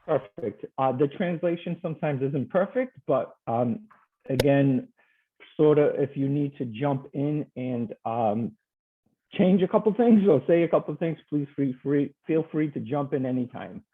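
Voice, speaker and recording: A man from Portugal teaches over a video call, friendly and a little hesitant, filling pauses with ums as he walks through his slides. His voice comes through a thin, tinny call line. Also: medium pitch, expressive, slight background noise. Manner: friendly, hesitant, with many fillers.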